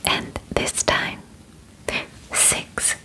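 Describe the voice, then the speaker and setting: A young woman voicing a calm, clinical alien examiner in an ASMR roleplay, speaking formal, reassuring instructions in a soft breathy whisper. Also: extremely slow. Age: young adult. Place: bedroom, indoors. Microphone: close sensitive microphone.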